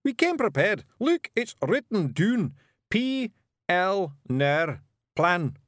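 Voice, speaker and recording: A man's voice: high voice, very animated, slow, fairly bright tone, dry recording, clean studio sound, pitched above the performer's own voice, exaggerated voice. A man voicing a boisterous, cheerful little fairy clansman with a Scottish accent in a storybook reading. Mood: cheerful confidence. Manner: exclamatory, brash.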